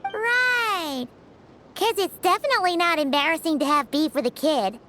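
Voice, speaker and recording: Snarky, piercingly high sidekick. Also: female voice, animated, normal speed, bright, forceful tone, a little hiss or hum, full character voice. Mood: mocking. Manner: sarcastic. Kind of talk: video game voice acting.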